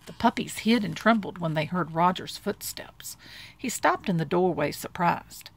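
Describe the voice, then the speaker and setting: Expressive woman storyteller with creaky voice. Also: lowish voice, moderate pace. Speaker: storybook reader. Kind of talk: expressive bedtime story reading.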